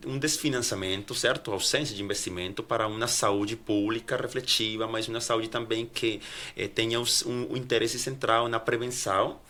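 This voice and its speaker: A Colombian man whose first language is Spanish, answering as a guest professor with a Colombian accent, halting, with many restarts. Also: mid-range voice, steady tone, pushed and bright. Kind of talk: academic interview answers.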